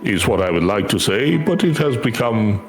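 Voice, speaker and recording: A man's voice: fairly low pitch, very animated, normal speed. A man voicing a courteous, earnest magical familiar in a video game, speaking formally and eloquently. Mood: courteous, composed. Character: courteous, earnest male magical familiar who hunts nightmares. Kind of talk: video game quest dialogue read aloud. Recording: echoey voice over game music.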